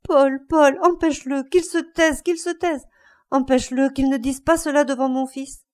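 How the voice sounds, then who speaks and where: A woman's voice: sits high, fairly even intonation, moderate pace, pitched above the performer's own voice, natural voice. A woman voicing a frightened, guilty mother who pleads desperately in a piercing cry, in a story. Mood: panicked, pleading. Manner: piercing, crying out.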